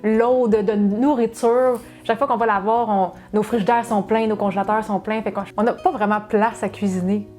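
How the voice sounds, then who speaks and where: Québécoise woman, 30s, chatty co-host, mellow tone. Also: medium pitch, steady tone, soft background music. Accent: Québécois French. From Quebec, Saguenay. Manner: chatty, fast, with many fillers and restarts. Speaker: cooking channel co-host. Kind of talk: casual Q&A chat.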